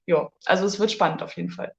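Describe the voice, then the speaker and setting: A woman co-hosting a casual livestream tasting, lively and chatty. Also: on the low side, fast talker, creaky, roomy sound. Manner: lively, chatty, laughs easily. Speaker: co-host.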